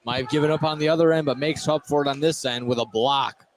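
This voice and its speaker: A man's voice: fairly high pitch, fairly even intonation, fast talker. A man giving conversational color commentary on a live basketball game from inside a gym. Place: indoor high school basketball gym. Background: faint gym noise.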